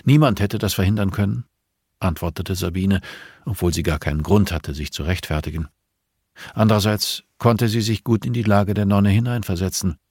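A man narrating crime fiction as a scripted audiobook, measured and sober. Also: low-pitched, steady tone, a little mellow, husky, no echo, studio quality.

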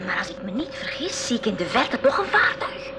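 A woman's voice: on the high side, wildly swooping pitch, a little fast. A woman acting a bold, curious young girl in a 1980s school radio play, with spirited, stagey delivery. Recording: noisy old radio play recording.

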